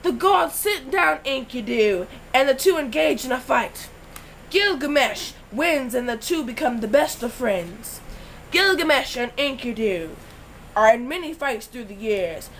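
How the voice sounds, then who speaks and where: Teen girl narrator, playful, high-pitched. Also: animated, a little slow, a little hiss or hum, poor audio. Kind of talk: school project narration.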